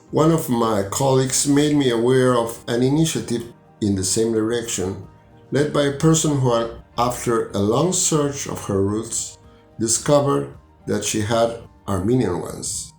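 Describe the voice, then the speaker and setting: Brief: middle-aged Argentine man, Spanish accent in English, earnest measured storytelling, echoey room, faint music under. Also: medium pitch, expressive, creaky, darkish tone. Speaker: university physics professor. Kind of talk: reflective personal narration.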